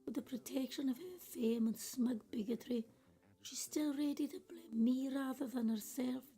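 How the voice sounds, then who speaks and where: A woman's voice: high-pitched, normal speed, dark tone. A woman playing a judgmental but conscientious care worker, reflecting bitterly in a radio play monologue. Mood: bitter, reflective.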